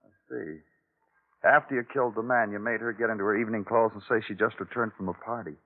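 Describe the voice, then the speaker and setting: Low-voiced hard-boiled radio detective. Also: male voice, fairly even intonation, quick pace, husky. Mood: subdued, stern. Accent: American. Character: hard-boiled, world-weary male police detective, grim and measured. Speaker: actor in a radio crime drama. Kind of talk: 1950s radio noir acting. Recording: tinny old radio broadcast recording.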